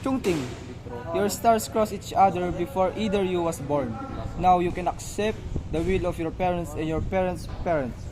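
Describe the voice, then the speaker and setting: A man's voice: sits high, moderate pace, close to an everyday voice. A man playing a solemn, ceremonious magistrate in an amateur radio drama, speaking formally and declaiming. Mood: judicial.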